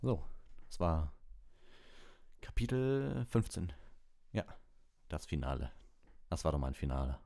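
A man's voice: extremely low pitch, very animated, unhurried, creaky, pauses often, dark tone, no echo. A man who hosts an audiobook livestream, reading and talking close to the microphone. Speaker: audiobook reader and livestream host. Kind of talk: story narration and casual livestream chat.

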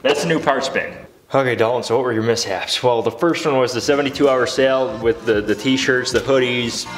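A man's voice: mid-range voice, a little fast, music in the background, rough recording. A young man in his twenties vlogging from his garage workshop, upbeat and jokey, with a motivational streak. He talks into a handheld camera held close. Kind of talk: energetic workshop vlog. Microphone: handheld camera, close and moving.

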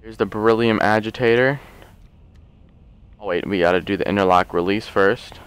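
A man casually commenting on his gameplay, rambling and joking as he thinks aloud, a little hoarse from a long session. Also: on the low side, normal speed. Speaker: let's play host.

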